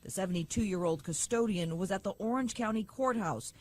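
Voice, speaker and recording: Female TV news reporter, measured. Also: medium pitch, darkish tone.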